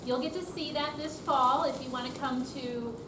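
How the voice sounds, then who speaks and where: A woman's voice: sits high, fairly even intonation, normal speed. A woman answering audience questions informally and conversationally as a member of a theater company. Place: room at a senior center. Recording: roomy, distant mic, noisy.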